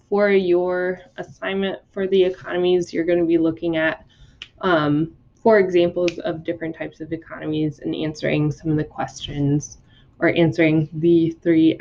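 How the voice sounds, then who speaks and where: Brief: woman teacher, slightly low voice, getting over a cold, loose chatty lecture full of ums, dull lo-fi sound. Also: little pitch movement, moderate pace, darkish tone, slight background noise. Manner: casual, many ums and likes. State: recovering from a cold. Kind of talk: recorded classroom notes lecture. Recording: dull lo-fi recording.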